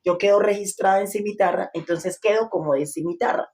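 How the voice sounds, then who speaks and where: Woman, guest pastor, earnest, hesitant fillers, mid pitch. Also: a little fast, a little mellow. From Colombia. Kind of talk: interview testimony.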